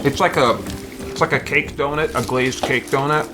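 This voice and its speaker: A man hosting a livestream in casual chat, talking in a halting, rambling way as he searches for words. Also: medium pitch, fairly even intonation, music in the background. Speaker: livestream host.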